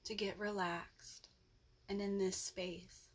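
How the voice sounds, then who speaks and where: A woman leading a guided yoga nidra relaxation as the instructor, with gentle, soothing instructions and long pauses. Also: mid-range voice, flat delivery, husky.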